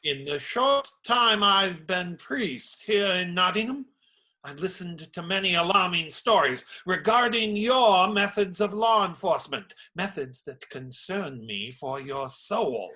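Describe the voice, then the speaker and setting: An actor playing an earnest, righteous priest who admonishes firmly in a radio play. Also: male voice, high-pitched, expressive, a little slow, bright, forceful tone, full character voice. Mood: calm rebuke.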